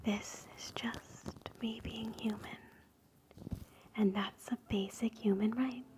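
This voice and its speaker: Woman, soft whisper, very slow, slightly high, noisy close-mic recording. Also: fairly even intonation. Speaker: ASMR host. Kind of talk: whispered ASMR affirmations. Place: home, indoors.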